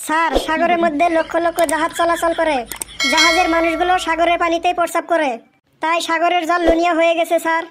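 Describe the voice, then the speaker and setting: A woman's voice: extremely high pitch, completely flat delivery, fast, noisy background. A woman voicing a polite but cheeky, stubbornly earnest schoolboy in a comedy cartoon. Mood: eager, earnest.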